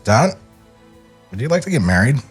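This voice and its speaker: A man's voice: medium pitch, very animated, normal speed, breathy edge, soft background music. A man playing a mild, conciliatory 1930s man in a screenplay table read, with understated delivery. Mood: gentle, resigned. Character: mild, placating 1930s man at an awkward dinner.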